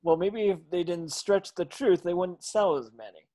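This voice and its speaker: A man's voice: high voice, moderate pace, mellow, lightly acted. A man voicing a dim-witted, cheerful cartoon starfish with a goofy, simple-minded way of talking in a table read.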